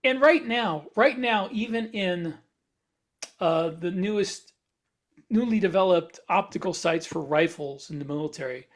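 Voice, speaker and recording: A man's voice: high voice, measured pace. A man speaking as an author on a casual podcast panel, explaining things while searching for the right words.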